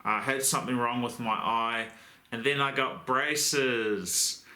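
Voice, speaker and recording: Australian bloke with a lisp, echoey. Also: male voice, medium pitch, takes it slowly, pushed and bright. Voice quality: lisp from new braces. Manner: joking, blokey, swears casually, rambling asides. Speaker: crane rigger and channel host. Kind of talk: casual how-to vlog.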